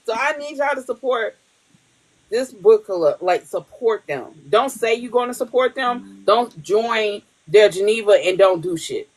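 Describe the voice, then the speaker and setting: A woman's voice: fairly high pitch, lively intonation, a little slow, low-quality recording. A woman hosting a podcast in casual chat, blunt and profane, laughing often. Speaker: podcast host.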